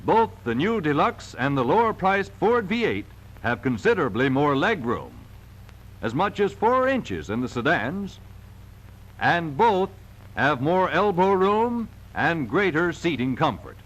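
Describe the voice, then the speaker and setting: A man's voice: on the high side, very animated, measured pace, lo-fi sound. A man reading scripted narration for a 1940s sales film in an emphatic, polished salesman's delivery, on a vintage film soundtrack.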